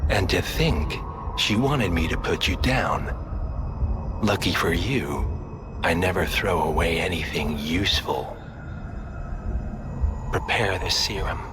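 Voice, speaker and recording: An actor playing a cold, urbane older mastermind villain in a video game, speaking in a measured, formal, condescending way. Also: male voice, low voice. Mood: smug menace. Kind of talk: cinematic video game acting. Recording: loud dramatic music behind.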